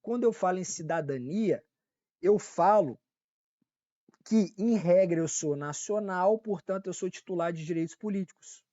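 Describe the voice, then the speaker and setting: A man's voice: sits high, normal speed, dark tone, no echo, muffled recording. A man with a Brazilian Portuguese accent giving a casual online law lecture, repeating key points, checking in with his listeners and correcting his own slips. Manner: casual, repetitive teaching with frequent check-ins and self-corrections. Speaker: law teacher. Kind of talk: online exam-prep lecture.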